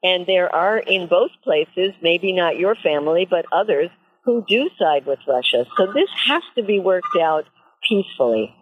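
Female pundit, lowish voice, measured argument, thin telephone-quality radio sound. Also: animated, breathy edge. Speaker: guest commentator. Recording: tinny phone-like radio line.

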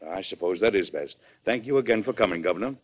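An actor playing a firm, measured American president in a classic radio drama, speaking with formal, deliberate courtesy. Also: male voice, deep voice, expressive. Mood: courteous, grave. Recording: dull old radio broadcast recording.

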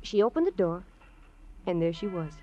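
An actress playing a chatty, grudging housewife in a 1950s radio crime drama, animated, wisecracking and given to mimicry. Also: female voice, fairly low pitch, normal speed. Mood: animated, indignant. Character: brassy, chatty, grudging housewife, gossipy former neighbor. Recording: dull, dry old radio recording.

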